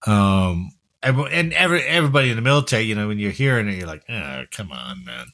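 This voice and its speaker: A man from New Jersey hosting a casual news chat, jokey and quick to laugh. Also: fairly low pitch, sing-song, a bit bright. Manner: jokey, laughs often, tells stories. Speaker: podcast host.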